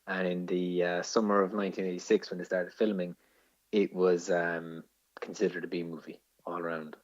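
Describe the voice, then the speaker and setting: Deep-voiced Irish man, slightly muffled podcast. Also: little pitch movement, normal speed. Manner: enthusiastic, sweary film-geek explainer. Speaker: podcast co-host. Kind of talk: casual film discussion. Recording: dull lo-fi podcast audio.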